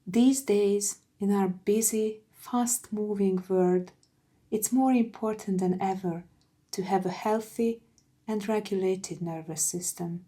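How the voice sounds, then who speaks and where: A woman guiding a meditation in a soft, soothing, gentle voice with breathy phrase endings. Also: mid-range voice. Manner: soothing, gentle, unhurried with long pauses. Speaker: meditation guide. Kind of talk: guided meditation narration.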